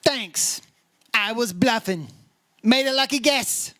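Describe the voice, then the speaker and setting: Radio detective, male actor: high-pitched, hardboiled and sardonic, firing off clipped wisecracks. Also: slow, bright, forceful tone, dry recording, studio quality, heavily acted. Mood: mocking. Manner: sardonic, taunting, clipped phrases. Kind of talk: old-time radio detective drama acting and narration.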